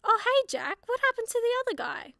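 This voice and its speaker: A perky female teammate played by a woman in a superhero comedy radio play. Her voice is extremely high, bright and swooping, full of teasing mock innocence. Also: sing-song, a little fast, a little hiss or hum, exaggerated voice. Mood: perky, mock-innocent.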